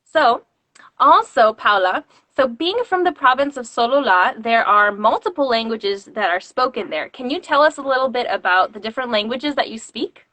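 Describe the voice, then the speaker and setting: Ballet teacher hosting a livestream, woman, high and bright, dry close sound, laughs a lot. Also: animated, moderate pace, no echo. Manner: warm, encouraging, laughs often. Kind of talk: live-streamed interview.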